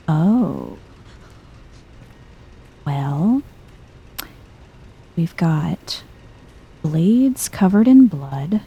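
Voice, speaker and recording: A soft-spoken woman giving hushed ASMR-style game commentary, musing to herself in an unhurried, breathy way and often dropping into a whisper. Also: lowish voice, phone-quality sound. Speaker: video game streamer, let's player.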